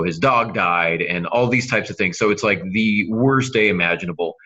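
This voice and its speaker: A man's voice: low-pitched, sharp tone, muffled recording. A man co-hosting a writing podcast, explaining things in a casual way with hypothetical examples. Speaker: writing podcast co-host and novelist. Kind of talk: casual instructional discussion.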